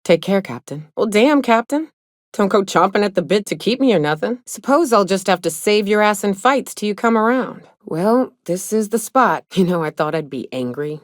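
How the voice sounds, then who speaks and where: An actress playing a tough, haunted, hard-drinking mercenary in a video game, talking in a blunt, folksy, world-weary way. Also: female voice, medium pitch, expressive, moderate pace, dry recording, clean studio sound, close to an everyday voice. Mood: wry, then regretful. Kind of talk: video game character dialogue.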